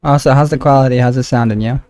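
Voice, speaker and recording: A man's voice: medium pitch, fairly even intonation, fast, a little mellow, clear, resonant tone. A man livestreaming a game, chatting casually with viewers in a chummy, slangy way. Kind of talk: casual game stream chat.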